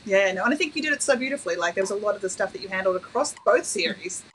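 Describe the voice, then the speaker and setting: A woman's voice: mid-range voice, animated, very fast, a bit bright, slight background noise, poor audio. A woman hosting a live video interview, reading out fan questions with upbeat enthusiasm. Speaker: host. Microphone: webcam on a video call.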